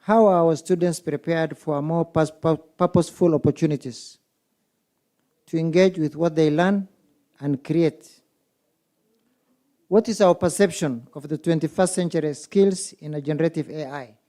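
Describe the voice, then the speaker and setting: A man's voice: fairly high pitch, moderate pace, dark tone. A man from Kenya moderating a symposium, asking the audience one rhetorical question after another. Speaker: conference moderator. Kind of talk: public speaking at a symposium. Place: conference hall.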